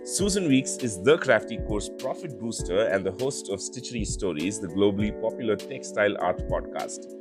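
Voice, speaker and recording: A man's voice: fairly low pitch, lively intonation, normal speed, over music. A man hosting a podcast, reading a guest introduction and then asking loose, rambling questions with fillers. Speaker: podcast host. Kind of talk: interview hosting.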